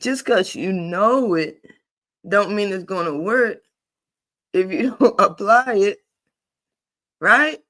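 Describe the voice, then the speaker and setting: A man hosting a casual spiritual talk on a live online session, animated and emphatic, with easy laughs, repeated phrases and rhetorical questions. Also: sits high, takes it slowly, pauses often. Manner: repeats and restarts while building a point, informal and folksy. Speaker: host of a paid spiritual membership group.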